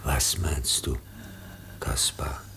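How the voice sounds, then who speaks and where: An actor playing a solemn, fatherly professor in a German radio drama, gravelly and measured, with a pious manner. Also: extremely low pitch, fairly even intonation, whispered, exaggerated voice. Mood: concerned. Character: grave, fatherly professor comforting a dying man. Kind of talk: German radio play acting.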